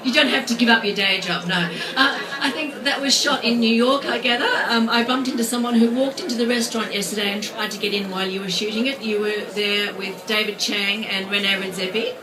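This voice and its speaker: Woman, event host, chatty, higher voice, distant mic, crowd chatter. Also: fairly even intonation, a little fast, hardly any pauses. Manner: chatty, lightly laughing, with filler ums. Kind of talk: live event hosting. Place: restaurant event space.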